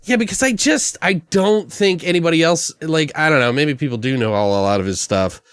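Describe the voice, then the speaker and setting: A man with lively, swooping intonation and a slightly high voice talks as a podcast co-host. He thinks out loud in a loose, profane ramble while making up comedy. The sound is dry, with hardly any room on it. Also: brisk, clear, resonant tone, no echo. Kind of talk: casual improvised comedy brainstorming.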